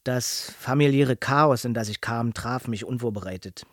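A man acts as a son looking back on his troubled family in a documentary. His voice is of middling pitch and sounds dry and close. He speaks soberly and reflectively. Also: normal speed, dry recording, natural voice. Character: writer's son soberly recalling a chaotic family. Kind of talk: scripted first-person recollection in a documentary.

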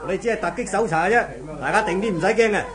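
A man's voice: high voice, rapid-fire, crowd noise, lightly acted. A man acting as a firm, methodical police inspector in a 1970s radio drama, with a Hong Kong Cantonese accent. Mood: commanding. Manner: firm, commanding, probing. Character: authoritative, methodical male police inspector leading raids.

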